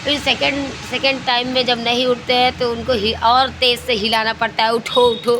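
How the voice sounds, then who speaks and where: A woman's voice: fairly high pitch, level tone, normal speed, a bit bright, soft background music. A middle-aged woman from India chatting casually as a lifestyle vlogger, repeating phrases and searching for words as she goes. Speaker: lifestyle vlogger, homemaker and mother. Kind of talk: casual chat about daily routine. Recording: clear background ambient and vehicle noise.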